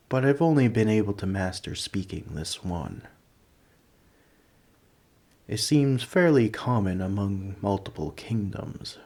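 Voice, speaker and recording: Man voicing a slow, deep, scholarly old dragon. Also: darkish tone. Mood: pensive. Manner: scholarly, rambling, politely formal. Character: ancient, gentle, nerdy male dragon who collects coins. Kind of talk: scripted ASMR roleplay, speaking to the listener.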